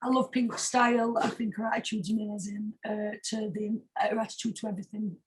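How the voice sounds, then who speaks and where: A British woman with a husky voice chatting casually as a singer being interviewed, informal and emphatic, often repeating herself for stress. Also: medium pitch, little pitch movement, a little fast, a little hiss or hum. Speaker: singer-songwriter guest.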